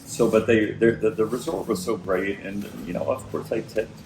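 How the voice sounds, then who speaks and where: A middle-aged man telling his story as an interview guest, slightly raspy, with lots of restarts and fillers. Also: fairly low pitch, fairly even intonation, normal speed. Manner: hesitant, many restarts and fillers. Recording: echoey room with music behind.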